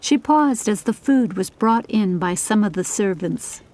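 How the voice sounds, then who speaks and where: A woman's voice: medium pitch, animated, unhurried, darkish tone. A woman reading scripted audiobook narration of a story.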